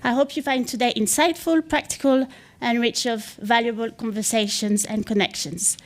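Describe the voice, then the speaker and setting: A woman opens a conference with prepared remarks, reading them in a measured way. Her voice sits a little on the higher side. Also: fairly even intonation. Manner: measured, read from a script. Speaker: event host giving opening remarks. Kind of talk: scripted opening remarks. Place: conference venue.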